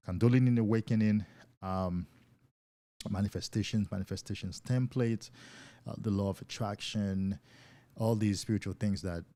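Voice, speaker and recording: A man's voice: deep voice, warm tone. A man hosting a casual live stream as a coach, talking loosely and enthusiastically to viewers and often repeating words as he goes.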